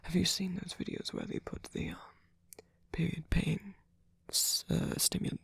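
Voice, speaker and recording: A man playing a sweet, gentle vampire boyfriend in an ASMR comfort roleplay, speaking haltingly in a breathy, husky whisper. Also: fairly high pitch, big pitch swings, no room sound, clean studio sound, exaggerated voice. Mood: gently musing.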